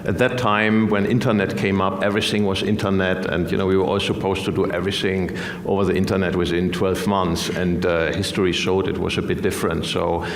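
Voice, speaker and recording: A man on a panel, answering in a measured way with fillers and restarts. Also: sits low, level tone, slight background noise. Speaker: fund manager on a panel. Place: conference stage before an audience. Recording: echoey hall from a stage mic.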